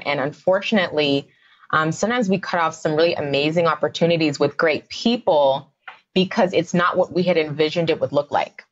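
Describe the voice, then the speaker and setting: A woman's voice: deep voice, dull audio. A woman, a psychotherapist guest on a podcast, explaining her views in a conversational way with many fillers. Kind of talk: podcast interview.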